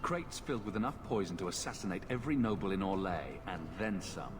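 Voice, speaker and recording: A man's voice: low-pitched, lively intonation, quick pace. A man playing a sardonic adventurer hero in a video game. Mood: wry, accusing. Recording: quiet roomy game audio, music behind.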